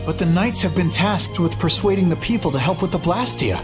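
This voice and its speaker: A young knight commander with a somewhat high male voice. He is formal and earnest, in game dialogue over clear music. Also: animated, a little fast. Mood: earnest, resolute. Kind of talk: video game voice acting.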